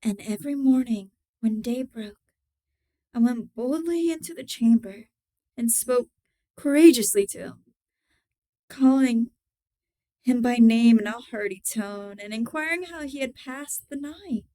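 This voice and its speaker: A woman reading a gothic horror tale as its mad, cunning, obsessive murderer, deliberate and theatrical, moving between hushed and emphatic delivery with a smooth voice. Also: a little high, natural voice, rough recording. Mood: sly, composed. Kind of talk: dramatic horror story reading.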